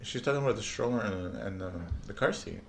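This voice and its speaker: A man from Canada co-hosting a casual podcast, telling stories with lots of swearing and loud laughs. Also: lowish voice, quick pace, vocal fry. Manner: animated storyteller, swears a lot, laughs loudly. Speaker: podcast co-host. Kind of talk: casual chat. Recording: echoey room with faint ambient noise.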